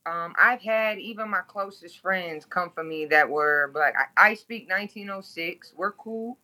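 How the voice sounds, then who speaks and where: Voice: medium pitch, measured pace, sharp tone, poor audio. A guest from Toledo, Ohio, speaking bluntly and candidly in an interview, swearing freely.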